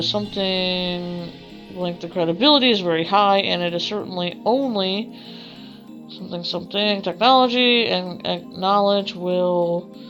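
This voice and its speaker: A woman reading a visual novel aloud as a let's play, with a halting delivery that corrects itself. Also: mid-range voice, fairly bright tone. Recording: dull lo-fi mic with music behind. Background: game music.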